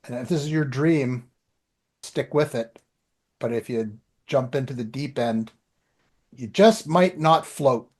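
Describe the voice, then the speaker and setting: A middle-aged man with an average-pitched voice co-hosts a podcast. He talks informally and plainly, as if swapping everyday stories. The delivery is casual and conversational. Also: slow pace, long pauses, darkish tone, husky. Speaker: podcast co-host and writer. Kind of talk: casual podcast chat about writing.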